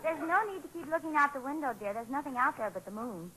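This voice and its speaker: Brisk, high-voiced 1940s radio wife. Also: female voice, expressive. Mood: wry, gentle. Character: sensible, gently wry young wife and mother. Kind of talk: 1940s radio sitcom acting. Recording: very noisy vintage 1940s radio recording.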